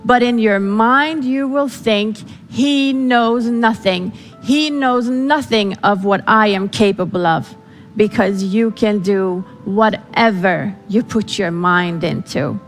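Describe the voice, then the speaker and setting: A woman's voice: medium pitch, takes it slowly, in a large hall, faint music behind. A woman whose first language is Farsi gives a dramatic storytelling speech, acting out the voices she quotes. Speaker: speaker. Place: event hall.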